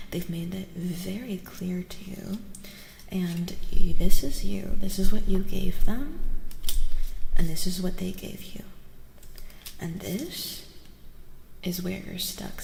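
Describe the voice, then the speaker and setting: Soft-spoken woman, slow and intimate, with reverb. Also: fairly low pitch, creaky, slight background noise. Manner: gentle, intimate, often dropping into whispers. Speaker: livestreamer giving tarot readings. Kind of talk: soft-spoken ASMR, casual chat with stream viewers.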